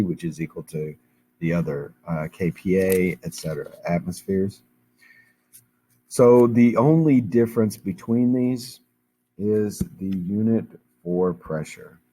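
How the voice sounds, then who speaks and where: A hoarse man with a very low, dark voice walks through a chemistry lesson, patient and steady, with plenty of ums along the way. A faint hiss sits under the recording. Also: low-quality recording. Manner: patient, explains step by step with fillers. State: hoarse, losing his voice. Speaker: chemistry teacher. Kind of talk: recorded classroom lecture.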